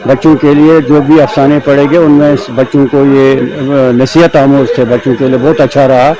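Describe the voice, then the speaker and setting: A man with a Kashmiri accent giving a halting, formal interview as a writer. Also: mid-range voice, fairly even intonation, poor audio. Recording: busy crowd chatter behind.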